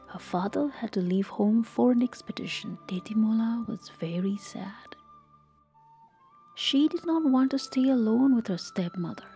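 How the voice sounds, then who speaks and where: A woman storyteller reading a scripted folktale in a soft, measured, expressive read-aloud way. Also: on the high side.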